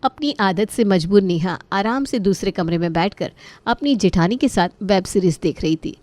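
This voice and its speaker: A woman's voice: mid-range voice, animated, normal speed, darkish tone, dry recording. A woman narrating a scripted family story as a storyteller.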